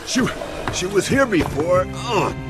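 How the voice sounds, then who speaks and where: An actor playing an unhinged, confused and paranoid video game villain who stammers, mutters and barks frantically. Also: male voice, high voice, big pitch swings, slow, over music, full character voice. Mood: frantic, paranoid. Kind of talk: theatrical video game acting.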